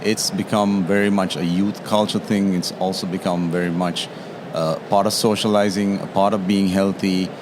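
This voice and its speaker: Sri man, very low voice, guest expert on a noisy show floor. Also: level tone, moderate pace. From Sri Lanka. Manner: explanatory, tags statements with "yeah?". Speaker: tea taster and guest. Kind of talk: interview at a trade show.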